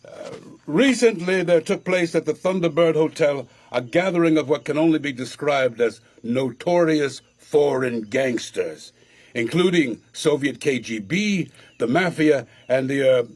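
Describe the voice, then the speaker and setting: A pompous but genial judge in a radio play. His fairly high male voice delivers formal phrases haltingly. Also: darkish tone. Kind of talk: radio drama acting.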